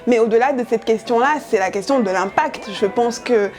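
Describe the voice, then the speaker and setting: A young woman whose first language is Yoruba talks in a fairly high voice, earnest and reflective, often pausing on soft fillers as she builds her thoughts. Faint music plays quietly behind her. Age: young adult. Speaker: journalist and editorial project lead. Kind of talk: interview monologue about her work.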